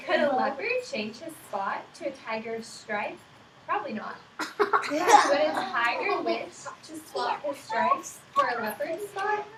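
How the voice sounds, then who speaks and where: High-voiced young woman in echoey room. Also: sing-song, unhurried, over a noisy crowd. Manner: encouraging, affirming, simple child-directed phrasing. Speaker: student teacher reading aloud to kindergarteners. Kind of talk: interactive picture-book read-aloud with questions to young children. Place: indoors in a classroom with young children. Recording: echoey room, distant mic, clear background noise.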